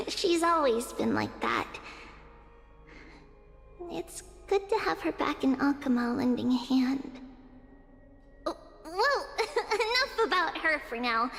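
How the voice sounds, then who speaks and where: A woman's voice: very high voice, a bit bright, in a large hall, full character voice. An actress voicing a tiny, cheerful, kindly little girl in a video game, cutesy and reassuring.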